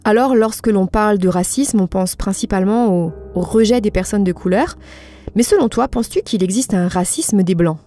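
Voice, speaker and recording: A woman hosting a podcast, putting prepared interview questions in a polite, measured, formal way. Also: mid-range voice, warm tone.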